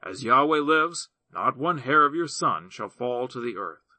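A man's voice: mid-range voice, animated, unhurried, pushed and bright, no room sound, heavily acted. A man reading a king giving firm assurances. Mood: assuring.

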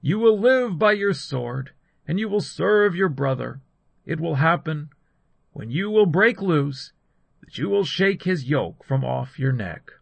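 A man's voice: fairly high pitch, animated, a little slow, creaky, no room sound, pitched above the performer's own voice, full character voice. A man voicing an aged, solemn father who declaims to his sons as in a scripture story. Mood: grave, agitated.

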